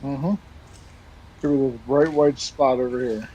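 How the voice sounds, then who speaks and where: A man's voice: medium pitch, slow pace, dull audio. A man with a Baltimore accent chatting casually and bluntly as a guest on a flight-sim stream. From United States. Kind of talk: casual chat. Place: voice chat on a game stream. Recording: steady background noise.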